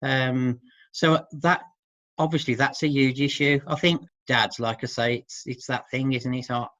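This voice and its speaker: A middle-aged man speaking as an expert guest in an informal interview, hesitant and halting, often seeking agreement with tag questions. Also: mid-range voice, steady tone, a bit bright, dry recording. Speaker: expert guest who works with men. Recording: dull, muffled lo-fi audio.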